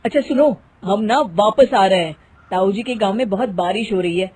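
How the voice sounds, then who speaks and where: A woman's voice: medium pitch, moderate pace, a little mellow, lightly acted. A woman voicing a patient, gullible young husband in a moral story, exasperated but soothing and indulgent. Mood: informing. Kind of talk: acted Hindi moral-story dialogue.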